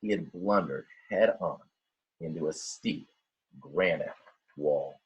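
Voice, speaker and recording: A man reading a children's storybook aloud in a dramatic, expressive way, with simple explanatory asides for young listeners. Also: sits low, slow pace, husky, dull audio. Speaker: teacher reading a picture book aloud.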